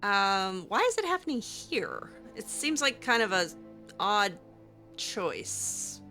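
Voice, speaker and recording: A woman's voice: mid-range voice, big pitch swings, slow pace, sharp tone, soft background music. A woman improvising in character during a game playthrough, talking casually and hesitantly with dry sarcasm. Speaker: gaming host role-playing her character.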